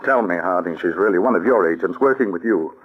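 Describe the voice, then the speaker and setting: An actor playing an earnest, emotional army colonel in a 1940s radio drama. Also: male voice, low voice, quick pace. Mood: distraught. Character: smitten, anxious male army colonel. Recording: dull old radio broadcast.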